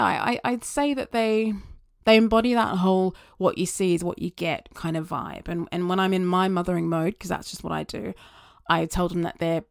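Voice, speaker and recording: A woman talking through her thoughts in a chatty, rambling monologue that wanders onto tangents, her voice getting slightly raspy. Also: fairly low pitch, no echo, clean studio sound. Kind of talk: casual reflective monologue.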